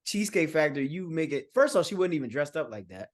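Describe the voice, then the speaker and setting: A man with a somewhat high voice argues his point in loose slang, checking in with "you feel me" as he goes. It is casual, opinionated podcast banter. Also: quick pace. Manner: animated storyteller, slangy, repeats "you know what I'm saying". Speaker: podcast guest.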